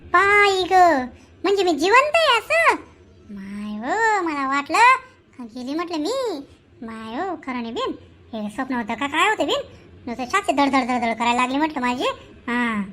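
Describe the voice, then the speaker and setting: A woman's voice: sits high, very animated, a little slow, bright, forceful tone, full character voice. A woman voicing an indignant, nagging middle-aged village mother in a comic cartoon, with a Khandeshi Marathi accent. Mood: shaken, dazed. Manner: nagging, exclamatory, rural colloquial.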